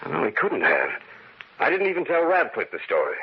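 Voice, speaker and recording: A man's voice: medium pitch, wildly swooping pitch, a little fast, slightly rough, full character voice. Dramatic, haunted man, old horror radio. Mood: tense. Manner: dramatic, intense. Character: anxious, guilt-ridden man hunted by evil, later its sinister impostor. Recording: noisy, muffled old radio recording.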